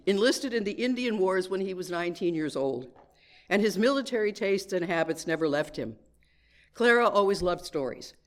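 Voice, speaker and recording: A woman giving a children's message at church, telling a story in measured, clearly phrased sentences to a congregation. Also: mid-range voice.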